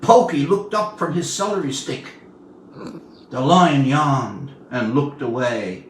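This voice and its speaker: A man in his seventies from Newfoundland reads his own literary tale aloud as its author, in a measured, expressive storytelling manner with dramatic pauses. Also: mid-range voice. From Newfoundland, Canada. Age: 70s. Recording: roomy, distant mic, faint background noise.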